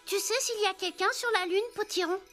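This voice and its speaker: A woman's voice: very high-pitched, fairly quick, fairly bright tone. A woman playing an eager, well-meaning little wooden puppet boy in a French children's cartoon, with a childlike manner. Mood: concerned. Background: music.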